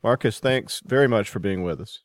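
A male host with a very deep voice presents in a radio style. The sound is dry, with little room around it. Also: fairly quick, no room sound. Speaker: show host. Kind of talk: radio-style hosting and scripted announcements.

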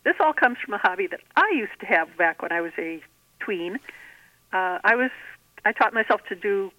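Female author as interview guest over a telephone: band-limited, dry sound, measured explanatory delivery. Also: medium pitch, animated, no echo, dull audio. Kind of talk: conversational interview about own writing.

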